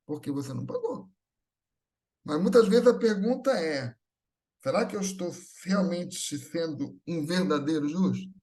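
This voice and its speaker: A man's voice: on the high side, animated, measured pace, darkish tone. A man giving a didactic religious talk, posing rhetorical questions and often checking for agreement. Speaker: religious lecturer. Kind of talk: Spiritist talk, didactic public lecture.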